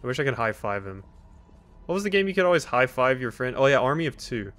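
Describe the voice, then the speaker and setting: A man's voice: mid-range voice, very animated, fast, fairly bright tone, soft background music. A man doing casual, wry gameplay commentary as a let's play host, teasing the characters and reading game text aloud. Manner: reads on-screen text aloud. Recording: faint background game noise. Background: video game sounds.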